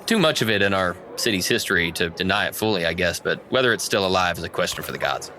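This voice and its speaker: Man playing a chatty, animated tavern keeper. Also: sits low, fast talker, a little hiss or hum. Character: chatty, obliging male tavern keeper sharing local legend.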